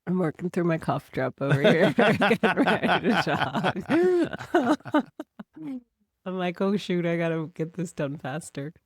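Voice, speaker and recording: A woman co-hosting a podcast while sick with a cold, with a raspy voice and a laughing, playful manner. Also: lowish voice, sing-song, unhurried, no room sound, studio quality. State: under the weather, raspy voice. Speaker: podcast co-host. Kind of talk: casual podcast chat.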